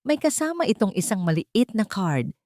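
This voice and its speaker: A woman's voice: mid-range voice, big pitch swings, normal speed, mellow, dry recording, clean studio sound. A woman narrating a scripted romance story as a dramatic storyteller.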